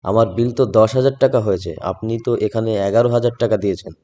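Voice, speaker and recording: Mellow man telling horror stories. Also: on the low side, quick pace. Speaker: first-person narrator. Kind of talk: scripted horror story narration. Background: background music.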